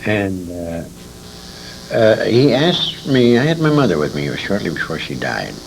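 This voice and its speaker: A man's voice: deep voice, big pitch swings, moderate pace, creaky. A man in his sixties telling detailed, emphatic anecdotes with asides, as a veteran radio actor reminiscing in an interview. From born in England, grew up in Detroit, USA. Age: 60s. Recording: noisy 1980s convention interview recording.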